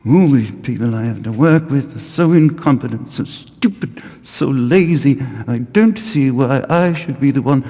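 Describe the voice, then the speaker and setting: Whining dark-toned grumbling guard. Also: male voice, medium pitch, lively intonation, unhurried, hardly any pauses. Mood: disgruntled. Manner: whining, complaining, self-important. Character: petty grumbling male temple guard, self-important and resentful. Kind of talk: video game voice acting, muttered monologue. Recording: reverberant hall-like echo.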